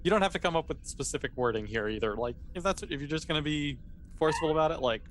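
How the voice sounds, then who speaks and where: A man's voice: a little high, fast, slight background noise. A man narrating an improvised tabletop game as game master in a conversational, explanatory way.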